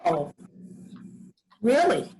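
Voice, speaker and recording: A woman's voice: fairly high pitch, big pitch swings, extremely slow, darkish tone, exaggerated voice. A woman reading a wry old farm widow. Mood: dry. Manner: dry, wry, plainspoken rural talk. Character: Grams, weary old farm widow, dry and stubborn. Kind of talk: staged play reading.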